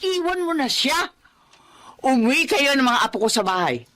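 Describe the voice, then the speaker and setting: A woman's voice: high-pitched, big pitch swings, moderate pace, fairly bright tone. An actress playing a blunt older mother and grandmother in a Filipino radio drama. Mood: scolding, exasperated.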